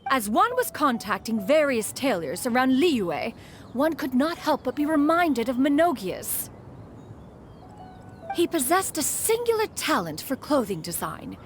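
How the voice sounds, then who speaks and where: A woman's voice: high voice, very animated, a little slow, soft background music. A woman voicing a haughty, dignified immortal mentor in an anime game, speaking in formal, archaic phrases. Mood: solemn, reflective. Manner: formal, archaic, calls herself "one". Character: haughty, dignified female immortal bird adeptus, mentor, exacting.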